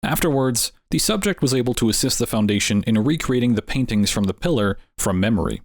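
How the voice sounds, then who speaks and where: A man narrating from a script, measured and explanatory. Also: medium pitch, animated, no echo, clean studio sound. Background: music.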